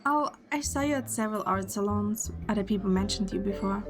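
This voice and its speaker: A woman playing a quick-witted young heroine, narrating an audio drama in the first person. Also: mid-range voice, music in the background, lightly acted. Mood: playfully evasive.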